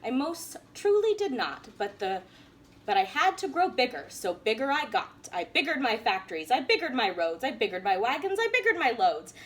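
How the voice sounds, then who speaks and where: A woman teacher reading a rhyming picture book aloud in an animated, sing-song way. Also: fairly high pitch, normal speed. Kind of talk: storybook read-aloud.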